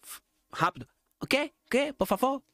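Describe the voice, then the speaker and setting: A man from Brazil with a Brazilian Portuguese accent, in a relaxed talk, often stumbling and repeating words as he searches for what to say. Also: very high voice, moderate pace, no echo. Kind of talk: casual conversation.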